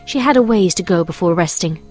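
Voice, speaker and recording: An Englishwoman reading scripted storybook narration in a measured, expressive storytelling manner. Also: lowish voice. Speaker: fan fiction reader and narrator. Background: music.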